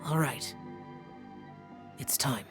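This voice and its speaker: A man's voice: medium pitch, very slow, over music. A man playing a solemn, reserved young male warrior adept in a video game, speaking formally and with restraint. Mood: quiet, sincere.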